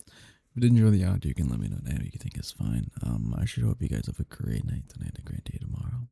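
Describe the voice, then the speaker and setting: Low, gravelly man, casual sign-off. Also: wildly swooping pitch, fast, dark tone, dry recording. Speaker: audio roleplay creator.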